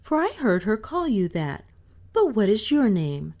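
A woman's voice: medium pitch, very animated, unhurried, darkish tone, pitched above the performer's own voice. A woman voicing a kind, cheerful, nearly grown mountain girl in a storybook, friendly and reassuring. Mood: gentle, curious.

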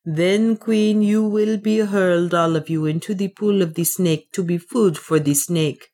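A woman reading an audiobook, voicing an honest male soldier who speaks gravely and formally with sorrow. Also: lowish voice, fairly even intonation, unhurried, warm tone, natural voice.

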